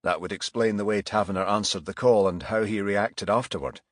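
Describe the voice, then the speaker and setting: A man voicing a businesslike, decisive male police boss in a crime audiobook, measured as he gives orders. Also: lowish voice, no room sound, studio quality, natural voice.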